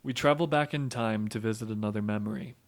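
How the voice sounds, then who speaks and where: A man's voice: lowish voice, quick pace, natural voice. A man playing the solemn storytelling narrator of an audio drama, reading scripted narration.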